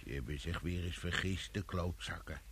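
A man's voice: steady tone, normal speed, fairly bright tone, a little hiss or hum. An actor playing a coarse, cynical and resigned workman in a radio play. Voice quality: deep, gravelly.

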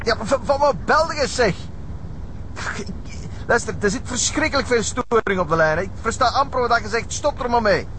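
An agitated, defiant criminal talking irritably into a phone in a TV drama. The voice is high and stammering, over some rumbling vehicle noise. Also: male voice, expressive, normal speed, pushed and bright, exaggerated voice, rough recording. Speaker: actor. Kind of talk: TV crime drama acting.

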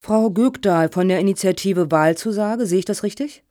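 A woman playing a composed, courteous head of a foundation in a satirical radio play, speaking in a formal, measured, officious way. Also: medium pitch, dark tone, dry recording, clean studio sound, lightly acted.

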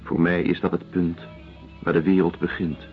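An actor playing a cool, fatalistic ship's doctor in a radio drama, matter-of-fact and deliberate. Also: male voice, low voice, flat delivery, soft background music, close to an everyday voice. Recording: muffled lo-fi old radio play recording.

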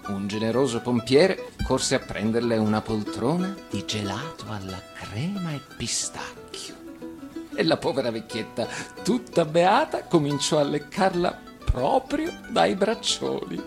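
A man reads a fairy tale in a medium-pitched, animated voice. His phrasing is theatrical and measured, with pauses, in the style of radio storytelling for children. Music plays clearly behind him. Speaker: actor reading a fairy tale.

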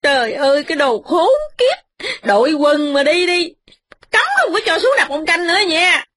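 A woman voicing a spiteful young wife who nags and mocks in a sharp, sarcastic tone. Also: high-pitched, lively intonation, moderate pace, voice pushed up. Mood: ranting.

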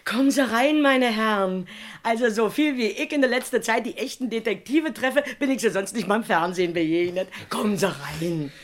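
A woman's voice: a little high, normal speed, a little hiss or hum, natural voice. An actress playing a cheeky, garrulous working-class older woman with a Berlin accent in a radio crime play. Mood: brisk, welcoming. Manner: garrulous, folksy, colloquial. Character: chatty, cheeky older working-class woman, former cleaner, police witness.

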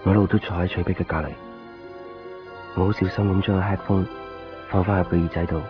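Low-voiced brooding Hong Kong Cantonese man, acted. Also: fairly even intonation, quick pace, lightly acted. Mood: tender, worried. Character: brooding, lovelorn man searching a night hospital. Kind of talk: 1990s radio drama, introspective first-person narration. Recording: dull lo-fi radio recording, faint music.

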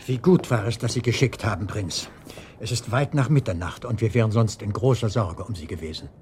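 An actor playing a dutiful servant of a prince, deferential and formal, in a German radio drama. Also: male voice, lowish voice, a little fast, background noise, close to an everyday voice. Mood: relieved, respectful.

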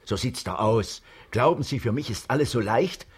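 A husky, whining older swindler. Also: male voice, mid-range voice, moderate pace. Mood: indignant, self-pitying. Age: 50s. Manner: whining, protesting innocence. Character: shifty older con man, self-pitying, defensively indignant. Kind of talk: radio crime comedy acting.

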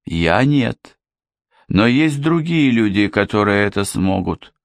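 A man voicing a calm, firm young woman who speaks in a level, pointed way. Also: on the low side, slow pace, no room sound, pitched above the performer's own voice. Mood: cool. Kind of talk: audiobook character voice.

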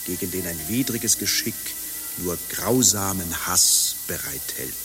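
An actor playing a lovesick, melancholy gentleman fugitive in a German radio play, declaiming poetically and hesitantly. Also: sits low, lively intonation, measured pace, over music. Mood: rapturous, mournful.

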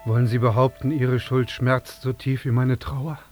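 A man's voice: medium pitch, level tone, normal speed, a little mellow, soft background music, lightly acted. An actor playing a bereaved, bitter father in a radio drama, accusing and insistent. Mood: wounded reproach. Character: bereaved foreign father, bitter, demanding an apology.

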